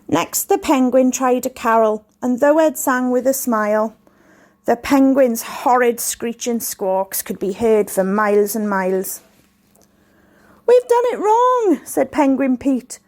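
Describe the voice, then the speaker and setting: A woman reading a rhyming story aloud to children in a measured, expressive way. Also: on the high side. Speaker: school staff member reading a story.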